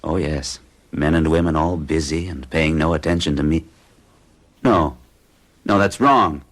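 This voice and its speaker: An actor playing a man under hypnosis who recounts events in a hushed, dreamy way, in a radio drama. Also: rumbling low, a little slow. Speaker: narrating lead character. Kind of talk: 1970s radio drama acting.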